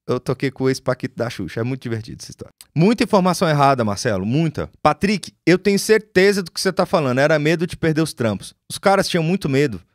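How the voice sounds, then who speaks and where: A man in his forties with a Brazilian accent, a music producer telling stories casually to his live viewers, slangy and animated, on a studio mic in a small home studio. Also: a little high, no echo. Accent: Brazilian Portuguese, lost Goiás accent. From Goiás, Brazil. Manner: animated storyteller, slangy, swears. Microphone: studio mic on boom.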